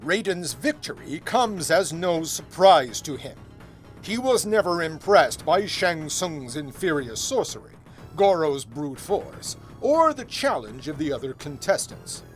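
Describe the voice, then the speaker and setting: A man's voice: on the high side, big pitch swings, measured pace, faint music behind. A man reading a theatrical scripted narration.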